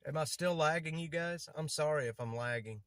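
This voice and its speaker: A man's voice: on the high side, brisk, fairly bright tone, no echo. A man with a stutter, chatting casually on a livestream from a city street while moving around, rambling and repeating himself.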